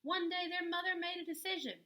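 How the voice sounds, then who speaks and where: A woman reading a children's story aloud in an animated, deliberate way with dramatic emphasis. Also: extremely high pitch. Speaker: children's story reader, teacher-style host. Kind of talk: expressive storybook read-aloud for young children.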